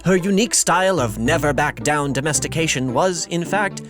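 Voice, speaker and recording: A man's voice: on the high side, lively intonation, moderate pace. A man narrating a scripted fantasy audio drama in a wry, dramatic storytelling manner. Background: music.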